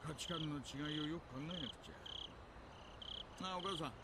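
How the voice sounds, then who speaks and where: Loud insect noise surrounds a man acting a wily older police detective in a visual novel. He rattles along very quickly, jovial and folksy, lecturing with polite relish. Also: on the high side, lightly acted. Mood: folksy, knowing.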